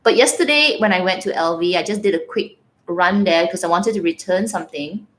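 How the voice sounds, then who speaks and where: A woman's voice: lowish voice, fairly quick. A young woman from Malaysia with a Malaysian English accent and bits of Singlish, chatting casually on a livestream, laughing often and reading out viewer comments. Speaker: livestream host.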